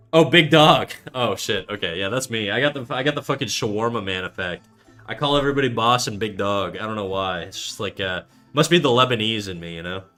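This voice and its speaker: A man's voice: medium pitch, fairly bright tone. A young man from Canada casually chatting on an art livestream, rambling and swearing, with lots of fillers and restarts. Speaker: art streamer. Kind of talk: casual livestream chat reading chat aloud.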